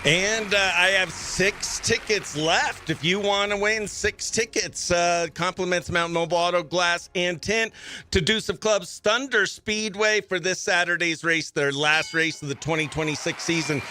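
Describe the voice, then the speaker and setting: A man's voice: high voice, fairly even intonation, normal speed. A man hosting a live morning radio show, chatting exuberantly and slangily as he runs giveaways and phone-in games.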